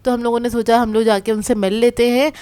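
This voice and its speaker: A woman's voice: fairly high pitch, flat delivery, fast talker, a little mellow, clear, resonant tone. A woman with a sore throat chatting in a casual, explanatory way as she narrates a vlog about her day. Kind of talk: casual conversational vlog voice-over.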